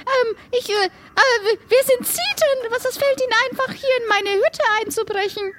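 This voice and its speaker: A very shrill, high-voiced woman, acted out by a man, startled and indignant. She stammers and protests her way through an improvised radio adventure, flustered and affronted. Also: normal speed, a bit bright. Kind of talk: improvised audio play.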